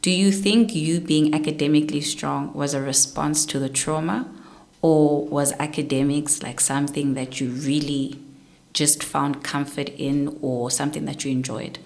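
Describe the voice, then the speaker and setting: A woman hosting a podcast interview, asking thoughtful, probing questions and listening with soft murmurs. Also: low voice, steady tone, moderate pace, gravelly. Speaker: interviewer host.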